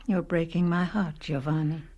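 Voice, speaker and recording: An actress playing a composed, educated woman in a radio play, speaking in a measured, reflective way. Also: low-pitched, fairly even intonation, warm tone, muffled recording. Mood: gentle, reserved. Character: composed, educated woman narrating, inhabiting a poor daughter. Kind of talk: radio play narration and dialogue.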